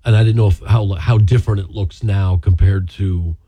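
Husky low-voiced man, losing his voice. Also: fairly even intonation, dry recording, lo-fi sound. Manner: chatty, halting restarts, short affirmations. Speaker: radio host. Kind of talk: casual radio interview.

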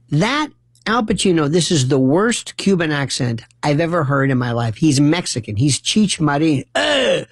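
A man's voice: a little high, no echo, clean studio sound. A man hosting a late-night radio talk show, delivering a rambling monologue full of wry asides.

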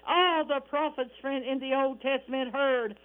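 An older woman with a high voice delivers a fervent Pentecostal sermon, moving between quoted scripture and direct exhortation. She breaks up her phrases with exclamations of praise. The sound is thin and phone-like, as on a radio broadcast. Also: steady tone, moderate pace. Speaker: radio preacher.

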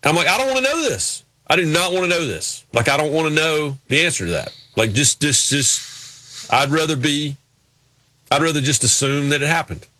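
Male co-host, bright dry voice, rambling self-deprecating storyteller. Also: fairly high pitch, sing-song, moderate pace, no echo. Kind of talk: casual sports talk.

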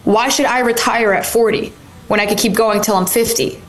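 A woman's voice: fairly high pitch, fairly even intonation, moderate pace, low-quality recording. Chatty woman in her twenties. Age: 20s. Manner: conversational and opinionated, lots of "like". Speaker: co-host. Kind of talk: casual political commentary.

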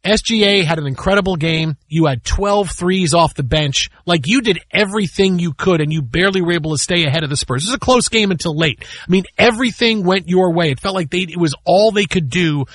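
A man's voice: high-pitched, brisk, hardly any pauses, a bit bright, dry recording. A man hosting sports talk radio, giving casual, opinionated commentary in an emphatic way with repetition and rhetorical questions. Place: radio studio.

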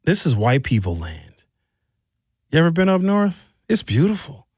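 Animated American man hosting talk radio. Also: a little high, normal speed, husky, dry recording, clean studio sound. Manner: animated, colloquial. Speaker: radio talk show host. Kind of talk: opinionated, informal storytelling monologue. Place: radio studio. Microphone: close studio microphone.

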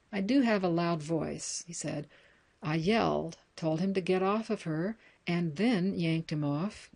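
American female reader, lowish pitch, measured and plain delivery for articles and book excerpts. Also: darkish tone. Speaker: reader for an audio reading service of books and news articles.

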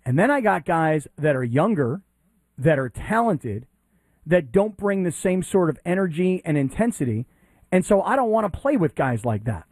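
A man's voice: fairly high pitch, very animated, normal speed, darkish tone, no echo. A man co-hosting a sports radio show, blunt and emphatic, with crude asides. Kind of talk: morning sports radio debate.

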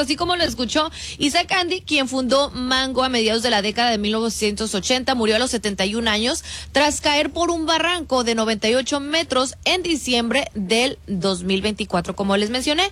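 A woman's voice: high-pitched, fairly bright tone. A woman co-hosting a radio show, reporting news in a conversational style. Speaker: radio co-host.

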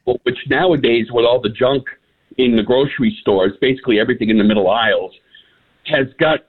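A man's voice: medium pitch, fairly quick, breathy edge. A man, calling in to a radio show and telling opinionated stories in a wry way. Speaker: radio caller. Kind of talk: call-in radio chat. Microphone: phone. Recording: tinny phone line.

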